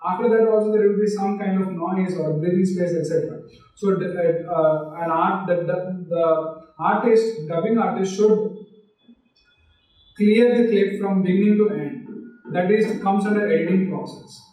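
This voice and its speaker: A man teaches film direction in a live online lecture. His voice sits fairly high for a man, and he explains patiently, often checking in with "right?". The sound is very echoey and a little distant from the mic. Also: steady tone, normal speed, mellow. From India. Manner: explanatory, asks listeners rhetorical questions.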